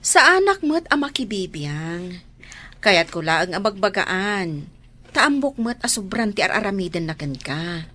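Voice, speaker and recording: Sympathetic elder sister, sighing and advising, animated intonation, medium pitch, Filipino accent, radio drama. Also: female voice, unhurried, full character voice. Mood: exasperated. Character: concerned, sympathetic older sister figure.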